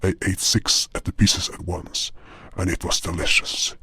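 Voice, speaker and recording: A man's voice: deep voice, fairly even intonation, unhurried, sharp tone, breathy edge, no echo, clean studio sound. A man reading scripted first-person fantasy narration as a storyteller. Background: music.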